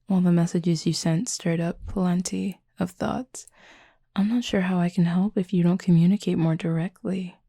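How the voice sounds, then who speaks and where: A woman voicing a confident, flirty werewolf pack leader in an intimate audio roleplay, with a husky, breathy, gravelly voice and a teasing, sardonic drawl. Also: lowish voice, fairly even intonation, normal speed, dark tone, no echo, studio quality.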